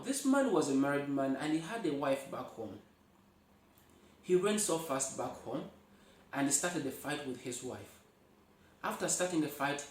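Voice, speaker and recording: A man from Uganda with a Ugandan accent and Luganda as his native language tells folktales in an animated way, speaking directly to his listeners. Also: fairly high pitch, slight background noise. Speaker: storyteller, host. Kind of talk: oral folktale storytelling. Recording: echoey room, far from mic.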